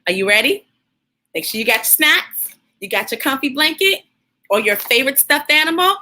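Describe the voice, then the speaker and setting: A woman hosting a children's read-aloud, speaking in an animated, encouraging way straight to her young listeners. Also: sits high, moderate pace.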